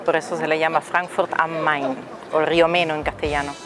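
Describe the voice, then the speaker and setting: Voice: mid-range voice, little pitch movement, brisk, music in the background. A travel vlogger, casually explaining city landmarks outdoors and asking the viewers questions. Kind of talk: casual travel narration to camera. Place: outdoors on a city tower deck and streets.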